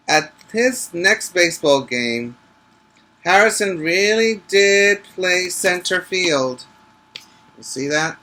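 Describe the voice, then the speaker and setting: A man's voice: a little high, sharp tone, low-quality recording. A male teacher reading a picture book aloud to preschoolers, adding instructive asides and short questions for the young listeners.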